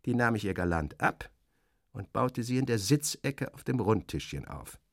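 A man's voice: on the low side, expressive, normal speed, no echo, clean studio sound. A man reading first-person literary narration in a dramatic, wry interior-monologue manner.

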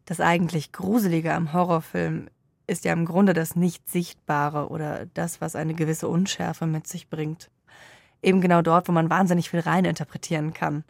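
Creaky-voiced woman interviewer. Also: deep voice, little pitch movement, normal speed, no echo. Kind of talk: prepared interview questions.

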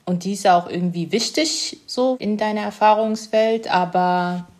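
A woman's voice: medium pitch, normal speed, darkish tone. A young woman, an author, talking reflectively in an interview, hesitant and searching for her words with many small fillers.

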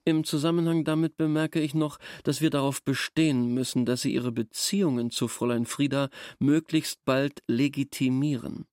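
An actor playing a pompous, grudging village schoolteacher in a literary radio drama, speaking in a pedantic, bureaucratic way. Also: male voice, mid-range voice, steady tone, moderate pace, hardly any pauses, darkish tone, no room sound, clean studio sound, natural voice. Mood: officious. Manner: pedantic, self-important, bureaucratic. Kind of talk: formal dramatized literary dialogue.

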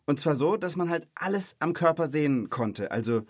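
A man's voice: a little high, expressive, moderate pace, no echo, clean studio sound. A man in his thirties hosting a children's podcast, explaining things in an informal, chatty, conversational way.

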